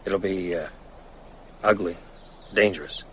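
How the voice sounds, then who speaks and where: An actor playing a weary, haunted man out to hunt vampires, brooding and halting in a radio drama. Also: low-pitched, a bit bright, breathy edge, heavily acted. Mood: weary resolve.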